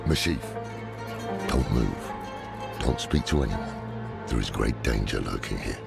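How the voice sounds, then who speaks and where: Very deep gravelly old demon hunter. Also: male voice, lively intonation, a little slow, heavily acted. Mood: stern. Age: older. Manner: terse, grave. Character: weary, grim old male demon-hunting scholar. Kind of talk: dramatic video game dialogue. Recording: loud cinematic game music behind voice.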